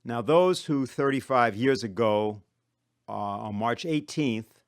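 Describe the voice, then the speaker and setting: A man with a mid-pitched voice delivers a prepared presentation. His delivery is formal and measured, with long sentences broken into careful phrases. The sound is close and dry. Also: lively intonation, no room sound. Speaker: conference presenter. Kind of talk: prepared speech.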